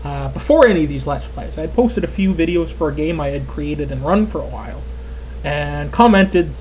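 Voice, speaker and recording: A man's voice: fairly high pitch, expressive, moderate pace, darkish tone, slight background noise, poor audio. A Canadian man in his twenties giving casual, rambling gameplay commentary as a let's player. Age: 20s. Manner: chatty, rambling, lots of fillers.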